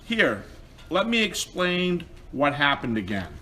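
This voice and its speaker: A man playing a repentant apostle in a children's puppet show, explaining things in an earnest, measured way. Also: fairly high pitch, very animated, bright, forceful tone, slight background noise, full character voice. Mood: kindly.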